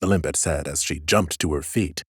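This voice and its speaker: A man narrating a first-person fantasy audiobook in a measured storytelling manner, slipping into dialogue. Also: rumbling low, a bit bright, slightly rough, no room sound, clean studio sound.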